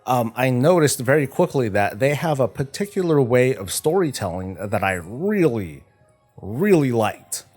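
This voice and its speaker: A man chatting casually on a gaming livestream, halting and often stumbling over repeated words. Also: medium pitch, sing-song, a little mellow, breathy edge, faint music behind. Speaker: streamer, host of a morning show. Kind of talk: casual livestream chat about games.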